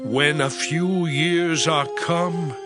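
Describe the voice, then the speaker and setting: An actor playing an anguished, suffering man who laments bitterly in a slow, impassioned, theatrical dramatized Bible reading. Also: fairly high pitch. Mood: pleading, sorrowful. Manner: slow, drawn-out, impassioned declaiming. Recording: loud background music. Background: music.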